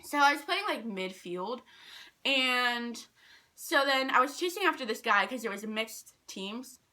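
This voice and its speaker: A young woman telling personal stories in a casual, animated, rambling and self-deprecating way. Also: on the high side, unhurried. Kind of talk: casual storytime chat.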